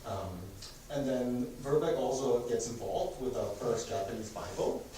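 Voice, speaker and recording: A man's voice: mid-range voice, animated, normal speed, warm tone. A man leading an adult church history class, speaking casually with many fillers and self-corrections; his first language is not English. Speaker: adult education class leader. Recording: echoey room from far off, noisy.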